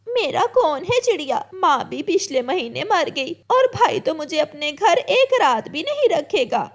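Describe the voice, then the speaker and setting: A woman's voice: very high voice, sing-song, a little slow, voice pushed up, heavily acted. A woman voicing a meek, mistreated young crow wife in a cartoon story, pleading and plaintive. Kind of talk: Hindi cartoon story acting.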